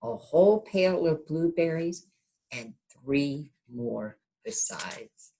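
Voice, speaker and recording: A woman reading a storybook aloud to young children in an expressive teacher's manner, pausing to check in with the listeners. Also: low voice, slight background noise, low-quality recording.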